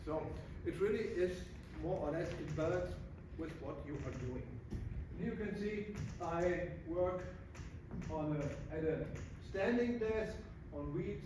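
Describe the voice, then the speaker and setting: A German man in his sixties with a German accent, a physiologist giving a presentation, often pausing with "uh" as he searches for words. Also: fairly high pitch. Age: 60s. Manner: halting, searching for words with many uh fillers. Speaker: physiologist giving a guest talk. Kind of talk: presentation to an audience. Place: large echoey hall. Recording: echoey room from far off, noisy.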